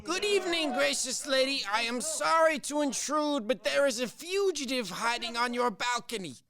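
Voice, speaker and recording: Actor playing army officer, formal, commanding, high-pitched, bright and forceful, radio play. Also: male voice, animated, moderate pace, heavily acted. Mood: formally polite. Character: army officer leading a search, courteous yet commanding.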